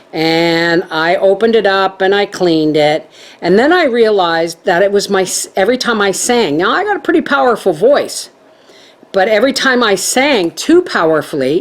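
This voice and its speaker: A middle-aged woman chatting to her viewers as a homestead vlogger, telling stories in a lively way. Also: mid-range voice. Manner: chatty, animated storytelling. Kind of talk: casual chat to viewers.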